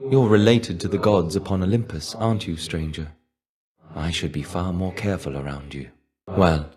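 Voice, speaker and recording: An actor playing the shade of a fallen Greek warrior in a video game, wry, reserved and melancholy, with a measured, understated delivery. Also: male voice, low-pitched, darkish tone. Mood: wry, resigned. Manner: measured, understated, wistful, trailing off at phrase ends. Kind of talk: video game character voice lines.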